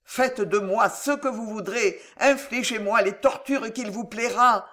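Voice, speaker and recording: A man's voice: high voice, flat delivery, moderate pace, a bit bright. A man voicing a desperate young woman who pleads breathlessly and passionately for her lover in a dramatic audiobook. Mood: anguished pleading. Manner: breathless, imploring, fervent.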